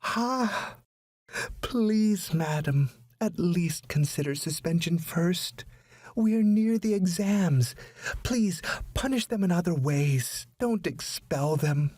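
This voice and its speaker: A man's voice: sits high, expressive, slow pace, darkish tone, dry recording, voice pushed up, exaggerated voice. A man voicing a desperate mother who wails and begs for her schoolboy son. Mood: pleading. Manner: wailing, begging.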